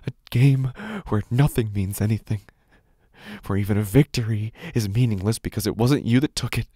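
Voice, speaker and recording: A man playing a desperate, unraveling gambler, narrating a horror audio drama in the first person with halting, haunted, dramatic delivery. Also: medium pitch, husky, dry recording, studio quality. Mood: bitter despair.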